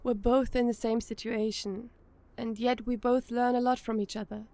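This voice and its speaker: A woman plays a young girl-group member in an audio drama. Her voice is fairly high, and her manner is calm and reassuring. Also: normal speed, close to an everyday voice.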